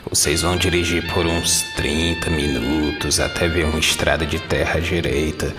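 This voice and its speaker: A man playing a creepy, strange smiling local with a gravelly voice and a Brazilian accent in a horror audio drama. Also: a bass voice, fairly even intonation, moderate pace. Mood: eerily polite. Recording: long hall reverb over music.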